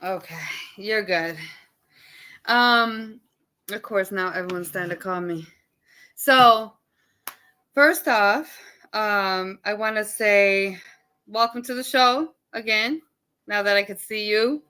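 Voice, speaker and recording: A woman hosting a podcast live stream in a loud, animated casual chat. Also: medium pitch, slow pace, pauses often, clean tone. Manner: loud, animated, laughs often. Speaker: podcast host. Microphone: live stream setup.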